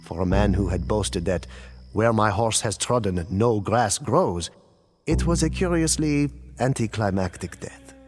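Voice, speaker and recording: An actor playing a grave old priest who recounts bloody wars in solemn, measured, theatrical storytelling. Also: male voice, lowish voice, animated, darkish tone. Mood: grave, ominous. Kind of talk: dramatic scripted storytelling. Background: dramatic background music.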